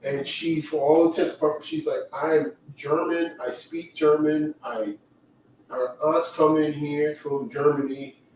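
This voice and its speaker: A man's voice: on the high side, fairly even intonation, darkish tone, far from the mic, slight background noise. Teasing man in echoey room. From Caribbean. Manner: teasing, talkative, quick asides. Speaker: livestream host. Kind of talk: casual chat. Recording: echoey room, away from mic.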